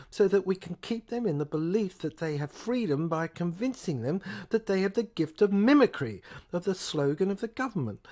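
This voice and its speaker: A woman's voice: on the low side, voice pushed up. Casting: woman playing scheming alien detective, male, pompous and declamatory, for sci-fi audio drama. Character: sinister, sarcastic male chief detective, scheming alien invader. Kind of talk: audio play acting.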